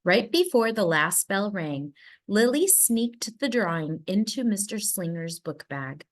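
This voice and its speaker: A woman narrating a children's storybook aloud in an expressive manner with dramatic emphasis. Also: mid-range voice, unhurried. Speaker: storybook reader.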